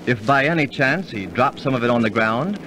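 An actor playing a knowing storyteller who explains things formally in 1930s radio style. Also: male voice, mid-range voice, expressive, fairly quick, lightly acted. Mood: formal, instructive. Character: knowing, instructive male storyteller and dining companion. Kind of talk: 1930s radio narration and acting. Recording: slightly noisy 1930s radio recording.

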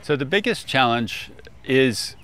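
An older man explaining things informally in a measured way, as an expert guest. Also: mid-range voice, expressive, fairly bright tone. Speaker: expert guest, agricultural scientist. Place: outdoors on a lawn beside a food truck.

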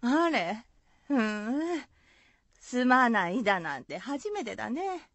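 An actress playing a lively, teasing peasant wife in a radio folktale, with exclamatory country speech. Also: female voice, a little high, takes it slowly, no echo, heavily acted. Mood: surprised. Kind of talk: radio drama acting.

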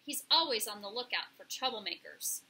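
A woman's voice: mid-range voice, sing-song, normal speed, bright, forceful tone. A woman voicing a bold, streetwise female pigeon who leads her flock, talking in an emphatic, colloquial, bossy way in a children's storybook.

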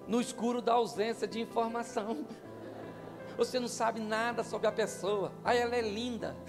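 Older Brazilian man preaching, high gravelly voice, long hall echo, faint music behind. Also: normal speed. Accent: Brazilian Portuguese. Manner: joking, animated, asks the crowd questions. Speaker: pastor. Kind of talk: humorous marriage talk to couples. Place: church stage, large hall. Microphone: handheld microphone.

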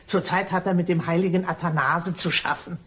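A woman playing a chatty, indiscreet, cheerful cleaning woman in a German crime radio play, gossiping in an animated way. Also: on the low side, brisk, natural voice.

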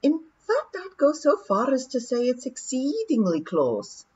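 A woman voicing a pompous, long-winded male pixie, measured and drawn-out. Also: high voice, wildly swooping pitch, darkish tone, slight background noise, voice pushed up, heavily acted. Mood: ponderous. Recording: dull lo-fi audiobook recording.

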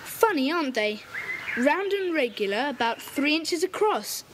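A woman's voice: high voice, very animated, unhurried, noisy background. A woman voicing a confident boy who leads a children's club in an audio drama, speaking decisively and taking charge. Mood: eager.

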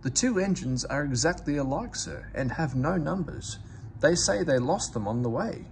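A deferential railway inspector from a storybook, read by a man. He is polite and a little hesitant, his voice lifted in pitch. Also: animated, moderate pace, vocal fry, slight background noise. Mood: polite, uneasy.

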